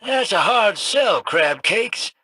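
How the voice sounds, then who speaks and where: A wily, scruffy gambler and rogue in a video game, full of folksy jokes and slang. His voice is husky and bright, pitched a little high, and it rolls slowly with a lively swing. The sound is dry and close. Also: dry recording, exaggerated voice. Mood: sly. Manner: wisecracking, drawling, folksy slang.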